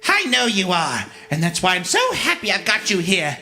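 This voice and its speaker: A man's voice: high voice, huge pitch swings, normal speed, sharp tone, shouting, faint music behind, full character voice. A man voicing a cheerful, murderous female pink pony in a sing-song, menacingly sweet manner for a story reading. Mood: gleeful menace. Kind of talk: dramatic comedic story reading.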